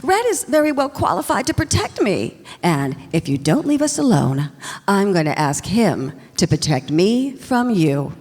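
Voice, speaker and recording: A woman's voice: mid-range voice, sing-song, measured pace, darkish tone. A woman acting a defiant, disdainful young rich heiress in a radio drama performed on stage. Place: stage in a hall. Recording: echoey hall.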